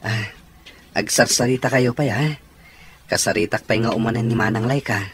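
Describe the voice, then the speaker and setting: A man's voice: medium pitch, steady tone, moderate pace, crowd noise, natural voice. A man with an Ilocano accent playing an apologetic, embarrassed young man, a devoted son, in a radio drama. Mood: respectful.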